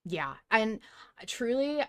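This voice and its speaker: A woman hosting a fan podcast in casual chat, effusive and excitable, quick to play down her own part. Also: on the high side. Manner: excitable, effusive, self-deprecating. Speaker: podcast host.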